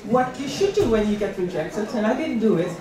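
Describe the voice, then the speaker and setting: A woman's voice: mid-range voice, expressive, a little fast, a crowd in the background. Middle-aged woman speaker in a very echoey hall. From Netherlands. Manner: conversational, repeats key words for emphasis. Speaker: invited speaker at a career event. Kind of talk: personal motivational talk with slides. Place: large room at an employment event. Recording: echoey hall, distant mic, audience noise.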